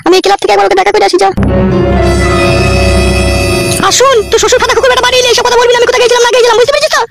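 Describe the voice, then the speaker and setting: A woman voicing a fierce, bossy village housewife and mother in a cartoon comedy, haranguing and forceful. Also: very high voice, steady tone, very fast, gravelly, over loud music. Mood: scheming, bossy. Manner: haranguing, foul-mouthed, forceful.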